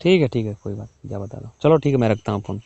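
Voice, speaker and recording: A man's voice: expressive, very fast, gravelly, mellow, husky, no echo. A young man in a casual private chat on a phone call. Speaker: caller. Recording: muffled low-fidelity phone call recording.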